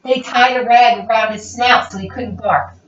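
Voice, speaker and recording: A woman reading a children's picture book aloud in a theatrical, expressive, playful way. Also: fairly high pitch, normal speed. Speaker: read-aloud storyteller. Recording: roomy, dull lo-fi, distant mic.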